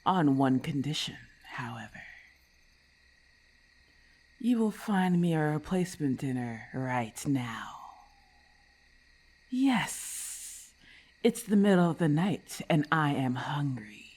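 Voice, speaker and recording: A woman voicing a haughty, predatory snake-monster lamia in an ASMR roleplay, imperious and disdainful, with deliberate formal phrasing. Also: deep voice, very animated, a little mellow, breathy edge, full character voice. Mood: haughty, grudging.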